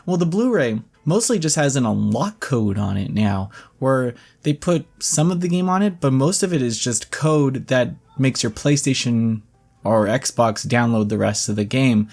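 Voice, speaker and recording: Gravelly, chatty man hosting gaming news. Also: mid-range voice, animated, moderate pace, darkish tone, soft background music. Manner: chatty, informal, jokey asides. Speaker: host of a gaming news show. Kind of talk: casual gaming news rundown with personal commentary.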